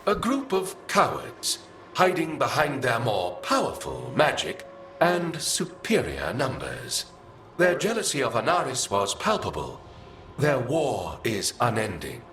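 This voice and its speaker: An actor playing a haughty, condescending ancient spirit in a video game, speaking in a formal, disdainful way. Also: male voice, mid-range voice, wildly swooping pitch, unhurried, fairly bright tone, a little hiss or hum. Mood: contemptuous. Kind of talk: video game character acting. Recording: music behind the voice.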